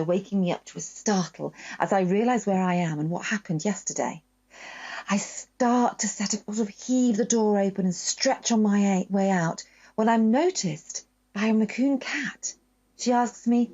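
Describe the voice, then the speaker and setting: Woman, storybook reading, expressive delivery, medium pitch, muffled lo-fi sound. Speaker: author reading aloud. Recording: dull lo-fi sound.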